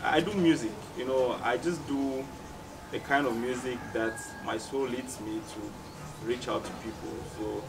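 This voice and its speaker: A man with a Cameroonian accent giving reflective, measured interview answers as a musician, often repeating phrases for emphasis. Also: medium pitch, fairly even intonation. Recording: distant mic, clearly noisy background ambience.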